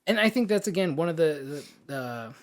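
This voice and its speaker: A man with a voice pitched a little above average speaks as a podcast co-host. He discusses things in a casual, opinionated way. His delivery is informal and emphatic, often repeating words to press a point. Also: lively intonation, fairly quick.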